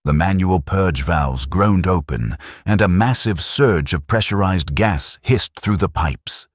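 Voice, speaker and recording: A man reading a science fiction audiobook with grave drama. His voice is extremely deep and husky, with plenty of movement in pitch. The sound is close and dry. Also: unhurried, no echo. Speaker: narrator. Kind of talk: scripted audiobook narration.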